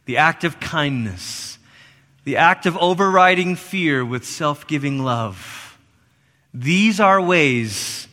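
A middle-aged man from Malaysia preaching a sermon in a church, swinging between loud emphatic lines and soft hushed ones. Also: medium pitch. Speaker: pastor. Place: church sanctuary. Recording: long reverb of a church hall.

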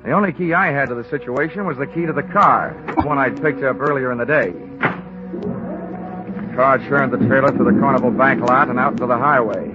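A man's voice: mid-range voice, brisk, natural voice. An actor playing a wry, sardonic insurance investigator narrating his case in 1940s radio detective style. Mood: tense, wry. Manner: sardonic, wisecracking. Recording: muffled old radio recording, faint music.

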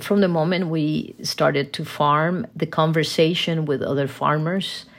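A woman's voice: deep voice, steady tone, measured pace. A woman, a coffee farmer telling her story in an interview, reflective and laughing at herself. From Costa Rica.

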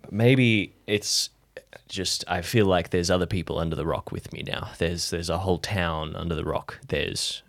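A man playing a guilt-ridden, introspective friend in an improvised audio drama, opening up in halting, repeated phrases. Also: sits low, steady tone, gravelly, dry recording, clean studio sound. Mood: reflective, weary.

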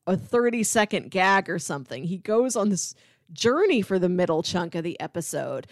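A woman co-hosting a podcast, casually retelling scenes like an animated storyteller. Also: lowish voice, normal speed, no echo, studio quality. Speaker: podcast co-host.

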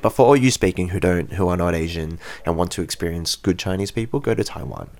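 Interview guest, man, 30s, very deep voice, outspoken and frank. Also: expressive, fast, creaky, darkish tone. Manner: frank, blunt opinions. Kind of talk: casual interview chat. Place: Japan.

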